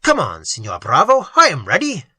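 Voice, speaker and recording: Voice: medium pitch, huge pitch swings, normal speed, sharp tone, slightly rough, dry recording, studio quality, full character voice. A reader voicing a proud, formal young nobleman in an audiobook. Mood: commanding. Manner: formal, commanding.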